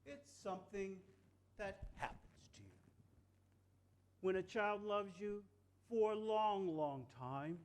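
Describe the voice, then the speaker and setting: A reader playing a wise, kind, very old toy horse in a storybook reading, speaking gently and haltingly with pauses. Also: mid-range voice, natural voice.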